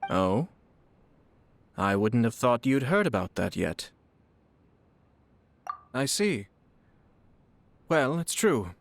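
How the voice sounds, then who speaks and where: A man's voice: medium pitch, slight background noise. An actor playing a courteous young nobleman and clan head in a video game, formal, eloquent and composed. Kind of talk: video game character acting. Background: music.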